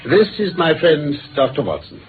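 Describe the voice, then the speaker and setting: A man with a British accent playing a brisk, incisive gentleman detective in a 1950s radio drama, articulate and theatrical as he lays out facts. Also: on the high side, very animated. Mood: measured. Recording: noisy, phone-like old radio recording.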